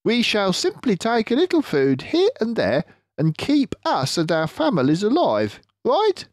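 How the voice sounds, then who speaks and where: Man putting on a cocky, swooping, high-pitched storybook fox. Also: huge pitch swings, no room sound, studio quality, full character voice. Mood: persuasive. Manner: theatrical, emphatic, drawn-out delivery. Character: cocky, suave male fox, sly leader and provider.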